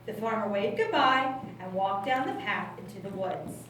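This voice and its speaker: A woman's voice: medium pitch, lively intonation, normal speed, fairly bright tone. A woman narrating a scripted children's story for a puppet show. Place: indoor room at a library. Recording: very echoey room, distant mic, clear noise.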